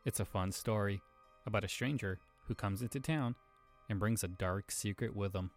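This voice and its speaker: A male podcast host with a fairly low voice delivers a scripted introduction. Quiet music plays underneath him. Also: moderate pace.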